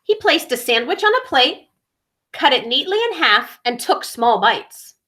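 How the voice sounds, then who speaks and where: A mature woman reading a children's story aloud, deliberately stressing key words and adding small asides for young listeners. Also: high-pitched, very animated, fairly bright tone.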